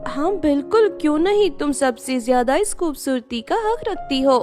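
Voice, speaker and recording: A tender, earnest young village dreamer, voiced by a woman storyteller, very high in pitch. She is the kind-hearted heroine of a cartoon fairy tale, with faint music underneath. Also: expressive, normal speed, warm tone. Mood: warm, generous.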